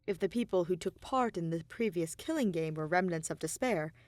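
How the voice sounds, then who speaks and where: A woman reading scripted fan fiction aloud as an expressive story narrator. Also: on the low side, brisk, dry recording. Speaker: fan fiction podcast reader.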